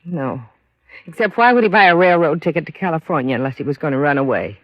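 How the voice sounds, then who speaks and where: An actress playing a bitter, grieving American widow and mother in an old radio crime drama, speaking plainly in weary, halting phrases. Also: sits low, lively intonation, dry recording. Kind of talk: 1950s radio drama acting. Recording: muffled vintage radio recording.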